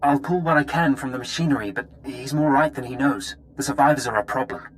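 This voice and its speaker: A man playing a pragmatic intelligence officer in a video game, talking in a brisk, businesslike, urgent way. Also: mid-range voice, a little hiss or hum, lightly acted, rough recording. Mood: grim, businesslike. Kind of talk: scripted video game dialogue.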